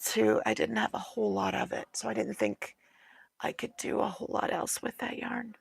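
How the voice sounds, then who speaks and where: Gravelly chatty woman losing her voice. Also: medium pitch, fairly even intonation, normal speed, dry recording. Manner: rambling, chatty, with many fillers. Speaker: streamer knitting on a livestream. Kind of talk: casual chat.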